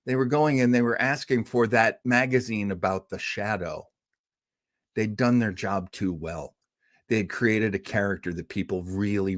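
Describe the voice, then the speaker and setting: Chatty man hosting an old-radio history talk. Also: mid-range voice. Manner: chatty, with many fillers and asides. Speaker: podcast host. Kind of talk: enthusiastic casual history talk.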